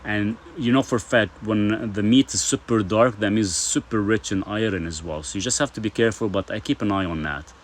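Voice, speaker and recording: A man's voice: fairly low pitch, a little fast, few pauses, slight background noise. A middle-aged man from Lebanon hosting a casual live stream, reading viewer comments aloud and answering them in a chatty way, full of fillers.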